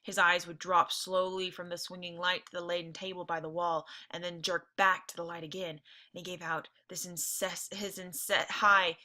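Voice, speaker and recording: Very bright-voiced woman reading aloud. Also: lowish voice, level tone, moderate pace. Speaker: teacher reading along with listeners. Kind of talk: read-aloud of a novel with informal asides.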